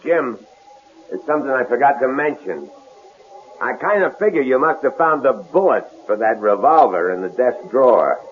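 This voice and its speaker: A man's voice: medium pitch, expressive, normal speed, phone-quality sound, close to an everyday voice. A man playing a taunting, casually menacing captor in an old-time radio drama, with a folksy, sly delivery. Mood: smug, taunting. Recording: hissy, band-limited old radio drama recording.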